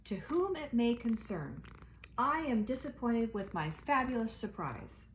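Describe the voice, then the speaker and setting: A woman's voice: on the high side, big pitch swings, measured pace, roomy sound, a little hiss or hum. A woman voicing a grumpy, aggrieved male pet cat in a storybook, speaking in a stiff, formal manner. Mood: irritated, formal.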